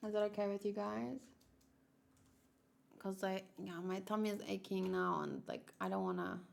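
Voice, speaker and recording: A woman's voice: medium pitch, animated, moderate pace, gravelly. A woman streamer chatting casually with her viewers, rambling and thinking aloud as she often repeats herself. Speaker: streamer hosting a manga read-along. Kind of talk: casual livestream chat with viewers.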